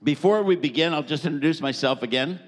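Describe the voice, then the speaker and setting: Middle-aged male preacher, light higher voice, jovial, hall reverb. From Canada. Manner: jovial, joking, pausing for translation. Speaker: visiting preacher. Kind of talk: sermon with live interpreter. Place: church hall.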